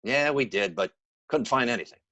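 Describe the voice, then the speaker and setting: An actor playing an eager, streetwise police detective in a radio drama, talking in colloquial gumshoe slang. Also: male voice, medium pitch, normal speed, highs cut off. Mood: eager reporting. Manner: animated, colloquial. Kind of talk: old-time radio drama acting.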